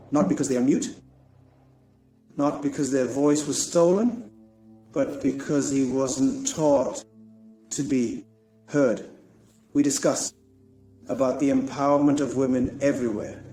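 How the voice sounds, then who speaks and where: Slow, mellow man in solemn monologue. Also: mid-range voice. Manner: solemn, deliberate with weighty pauses. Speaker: spoken-word poet. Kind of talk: dramatic poetic monologue. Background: music behind the voice.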